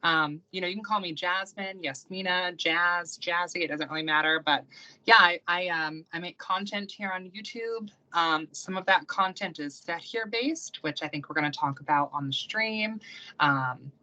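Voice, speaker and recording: A young woman from the United States, talking in a low but bright, forceful voice. She rambles in a loose, casual way, with lots of ums and likes as she tells her stories. The sound is a little dull and lo-fi, like a call recording. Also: moderate pace. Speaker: guest, practicing witch and YouTuber. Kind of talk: casual conversational interview.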